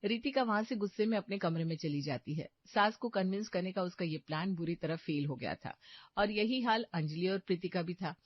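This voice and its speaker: A woman's voice: on the low side, brisk, no echo, highs cut off. A woman reading scripted narration for a story.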